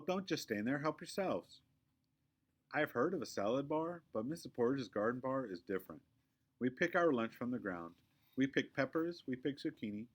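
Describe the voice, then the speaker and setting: Male storybook narrator, mid-range voice, expressive and emphatic, with very lively intonation. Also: mid-range voice. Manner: animated, emphatic. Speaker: storybook reader. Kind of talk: expressive children's read-aloud narration.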